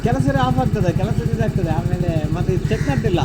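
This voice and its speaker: A man chatting casually, his voice quiet and set back in the recording. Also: high-pitched, quick pace. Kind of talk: casual chat. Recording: loud engine noise, quiet distant voice.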